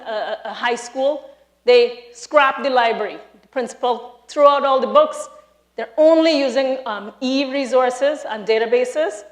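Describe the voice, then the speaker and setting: A woman with a Trinidadian accent speaks from a stage, lively and emphatic, talking straight to her audience. Her voice sits a little high. The hall around her gives a roomy echo. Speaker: speaker, university lecturer. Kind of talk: live conference talk. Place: large hall, live audience.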